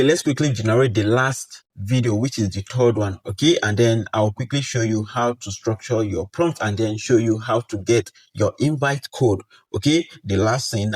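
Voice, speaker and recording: Husky low-voiced man giving a tutorial. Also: a little mellow. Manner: repetitive, reassuring, addresses viewers directly. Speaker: tutorial presenter. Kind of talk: casual screen-recorded tutorial.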